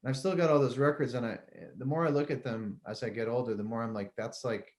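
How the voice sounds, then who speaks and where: Relaxed, rambling middle-aged man. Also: mid-range voice, fast talker. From Connecticut, US. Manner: relaxed, rambling, laughs easily. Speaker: musician guest. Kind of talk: casual interview chat.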